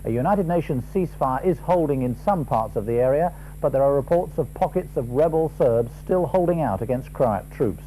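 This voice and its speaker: Mellow British male newsreader. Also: medium pitch, expressive, normal speed, hardly any pauses, slight background noise, rough recording. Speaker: news presenter. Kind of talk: formal scripted news reading.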